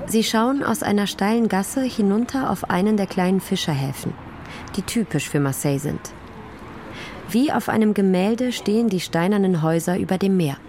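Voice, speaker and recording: A woman's voice: medium pitch, animated, normal speed, a little mellow. A woman delivering scripted documentary narration for a radio feature. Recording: outdoor city noise behind narration.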